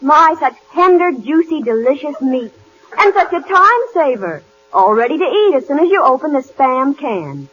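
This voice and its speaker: An actress playing a gushing, grateful housewife in a 1940s radio commercial, on a muffled broadcast recording. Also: female voice, high-pitched, sing-song, normal speed, a bit bright, a little hiss or hum. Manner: gushing, enthusiastic.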